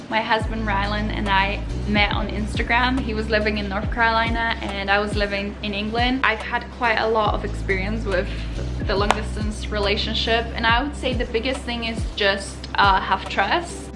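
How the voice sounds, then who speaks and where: A Polish-born woman whose first language is Polish, a vlogger and clothing brand founder answering viewer questions in an earnest, upbeat way. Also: mid-range voice. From Poland. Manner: earnest, upbeat advice-giving. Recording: voice over background music.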